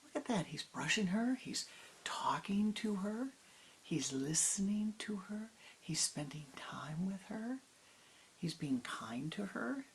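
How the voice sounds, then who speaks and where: A woman's voice: sing-song, slight background noise. A woman voicing a seven-year-old girl who is sure she already knows everything, in a storybook tale. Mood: curious. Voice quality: high, quick and bright.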